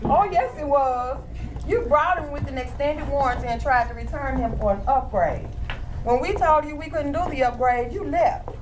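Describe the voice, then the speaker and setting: A woman's voice: sits high, normal speed, close to an everyday voice. An actress in a stage play as a smug, gossipy rival businesswoman, sly and mock-friendly. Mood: accusing. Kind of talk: amateur stage play acting. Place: theatre stage. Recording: noisy live theatre recording.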